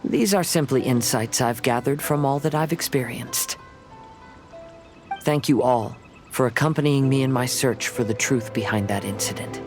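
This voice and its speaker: A man playing a gentle, reflective young wandering samurai in a video game, speaking in a measured way. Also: medium pitch, natural voice. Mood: earnest, reflective. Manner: calm, measured, contemplative. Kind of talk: scripted video game dialogue. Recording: loud music under the voice.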